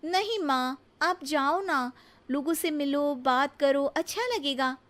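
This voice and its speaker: Woman playing a sweet, very high-voiced young daughter-in-law. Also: takes it slowly, heavily acted. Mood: coaxing. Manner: coaxing, polite, animated. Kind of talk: acted dialogue in cartoon moral story.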